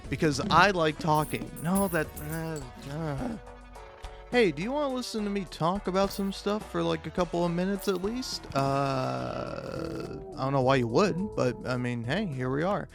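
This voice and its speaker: A man doing a rambling, casual comedic monologue, halting and profane, often stammering and cutting himself off. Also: fairly high pitch, animated, vocal fry, music in the background. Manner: profane, halting, self-interrupting with stammered repeats; chuckles often while talking. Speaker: host of a comedic video.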